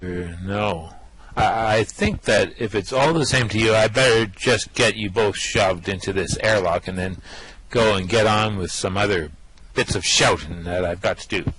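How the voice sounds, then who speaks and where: A man playing a dim, bullying alien guard in a comic sci-fi radio play, plodding and hesitant between shouted stock phrases. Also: on the low side, moderate pace, husky, poor audio. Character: dim, bullying male alien guard, bored yet dutiful.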